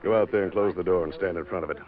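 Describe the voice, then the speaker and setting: An actor playing a smug, scheming wealthy diamond owner in an old radio drama, with a sneering, commanding manner. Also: male voice, sits low, very fast, close to an everyday voice. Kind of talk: 1940s radio acting. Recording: dull old radio broadcast with faint hiss.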